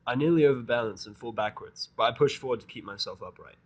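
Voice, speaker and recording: A male narrator reads a first-person fan story in a mid-pitched voice. His telling is sardonic, with a grumbling edge. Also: fast talker, slightly rough, lo-fi sound.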